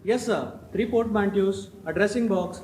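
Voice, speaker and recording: A man's voice: sits high, normal speed, a little mellow, natural voice. A man playing a deferential, formal Victorian manservant in amateur stage comedy. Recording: echoey, noisy stage, distant mic.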